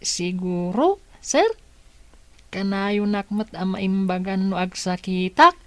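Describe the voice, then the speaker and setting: Sweet little ilocano boy, slow careful talk, radio drama, dry sound. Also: medium pitch, fairly bright tone, no room sound. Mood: earnest, cheerful. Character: sweet, earnest little boy, therapist's child. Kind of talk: Filipino radio drama acting.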